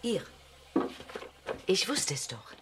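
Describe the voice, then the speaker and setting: An actress playing a thoughtful, well-read crew member in a German radio play, in a naturalistic, musing way. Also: female voice, medium pitch, big pitch swings, takes it slowly, heavy background noise. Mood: intent, searching.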